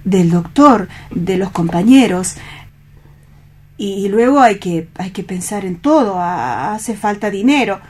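A man's voice: high voice, expressive, slow, a little mellow, husky, exaggerated voice. A man playing a timid, bookish young poet in a radio play reading, speaking in a hesitant, meek way. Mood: hesitant, worried.

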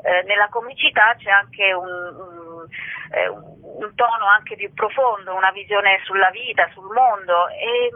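A woman's voice: medium pitch, a little slow, dry recording. Actress chatting in a radio interview, woman calling in on a thin dry phone line. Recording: narrow-band telephone line.

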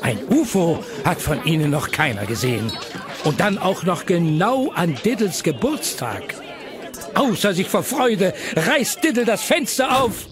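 A man's voice: sits high, sing-song, a little slow, a crowd in the background. A man narrating a children's audio play in a scripted storytelling style. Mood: amused.

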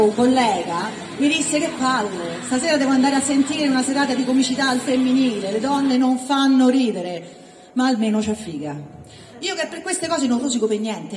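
A woman doing a sardonic, colloquial stand-up monologue as the host of a live comedy night. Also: sits high. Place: live venue with ambience behind. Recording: echoey hall, distant mic, faint noise.

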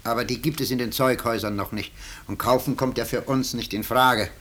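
An actor in a 1950s German radio drama playing a calm, sly arsonist lodger who is courteous, deliberate and matter-of-fact. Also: male voice, medium pitch, natural voice. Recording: faintly noisy 1950s radio recording.

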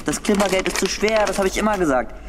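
A woman's voice: mid-range voice, animated, quick pace, background noise, lightly acted. An actress playing a grumbling, sardonic female crook in a German radio drama.

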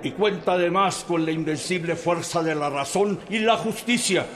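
An actor playing a stern revolutionary leader giving a formal, grave speech to assembled officers in a radio drama. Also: male voice, high-pitched, normal speed, a bit bright, big-room sound. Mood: solemn. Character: stern aging revolutionary leader resigning command.

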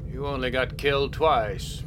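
An actor playing a gruff but encouraging squadron leader in a radio drama, wry and matter-of-fact. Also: male voice, mid-range voice, steady tone, unhurried, background noise, lightly acted.

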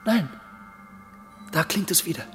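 Grieving, noble young prince in reverberation. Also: male voice, sits high, lively intonation, unhurried, a bit bright, soft background music. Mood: wondering, mournful. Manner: declamatory, reverent. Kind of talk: classic radio drama, lofty verse.

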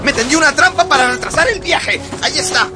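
A man's voice: very high-pitched, lively intonation, fast talker. An actor playing an alarmed, loyal manservant in a radio drama, exclaiming shrilly to his master. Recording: music and crowd noise behind.

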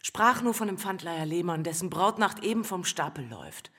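Husky, mocking, streetwise flirt. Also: female voice, fairly low pitch, expressive, brisk, fairly bright tone. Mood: mocking, gossipy. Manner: teasing, gossipy. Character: flirtatious, mocking, streetwise woman after a ring. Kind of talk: theatrical German radio drama acting. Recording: long reverberant hall with music behind.

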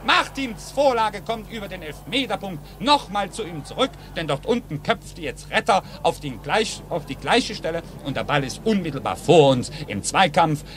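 A man's voice: high-pitched, expressive, normal speed, few pauses, a bit bright. A man giving detailed live play-by-play football commentary on 1950s radio, in short clipped phrases from a stadium. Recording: noisy old 1950s radio recording.

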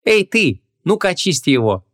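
A man voicing a lively, curious young woman in an audiobook, talking in a colloquial, impatient way. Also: high voice, moderate pace, voice pushed up. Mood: confiding, bossy.

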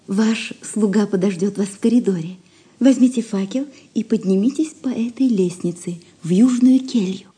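A woman acting a discreet, deferential lady's maid in an audio drama, with a breathy, half-whispered voice. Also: medium pitch, normal speed, dark tone, lightly acted. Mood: hushed, discreet.

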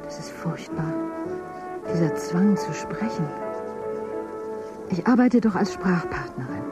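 A woman's voice: fairly low pitch, sing-song, slow, over loud music. An actress playing a troubled woman who works as a paid conversation companion in a dystopian radio drama, talking compulsively. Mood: distraught, confessing. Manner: compulsive, rambling talk. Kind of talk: German radio drama acting.

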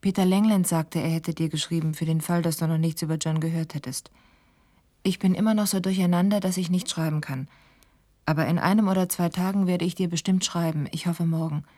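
Radio play letter voice: apologetic young fiancée , acted by a woman, lowish, mellow, hushed. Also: steady tone, fairly quick, close to an everyday voice. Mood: apologetic, subdued. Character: apologetic, troubled fiancée reading her letter.

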